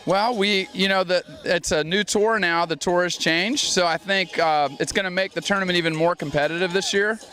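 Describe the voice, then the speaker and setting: A tennis director speaking outdoors, halting with many fillers. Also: male voice, high voice, steady tone, bright, forceful tone, faint music behind.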